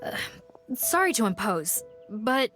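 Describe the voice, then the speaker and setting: Eine zupackende, förmliche Polizistin, in mittlerer Stimmlage. Im Hintergrund ist deutlich Musik zu hören. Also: female voice, medium pitch, very animated, a bit bright, soft background music. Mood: brisk. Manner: brisk, formal. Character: brisk female police officer, businesslike. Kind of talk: video game voice acting.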